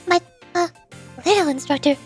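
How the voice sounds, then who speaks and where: A woman's voice: very high voice, moderate pace, soft background music, pitched above the performer's own voice. A woman voicing an earnest, polite little schoolteacher girl from an anime game, hesitant and easily flustered. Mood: polite, slightly miffed.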